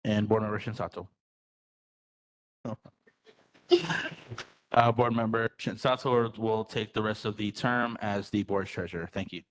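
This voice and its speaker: A man's voice: fairly low pitch, steady tone, unhurried, long pauses, rough recording. A man running a roll-call vote at a public meeting, apologetic and self-correcting.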